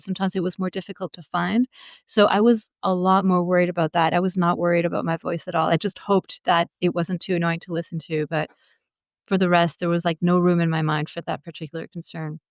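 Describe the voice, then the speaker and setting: A woman's voice: fairly low pitch, no echo. Candid woman, dry close sound. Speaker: podcast guest, interpreter. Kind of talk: casual interview storytelling.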